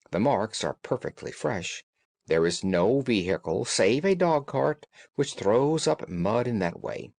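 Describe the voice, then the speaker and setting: A man voicing a brisk, observant gentleman detective in a storybook, courteous, precise and assured. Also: medium pitch, gravelly, no echo. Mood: confident, explaining. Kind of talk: audiobook character dialogue.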